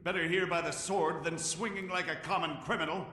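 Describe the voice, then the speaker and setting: A man's voice: a little high, steady tone, brisk, sharp tone, a little hiss or hum. A man playing a cornered, defiant outlaw in a video game, sardonic and theatrical in his delivery. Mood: sardonic defiance. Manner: mocking, theatrical. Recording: echoey hall, music behind.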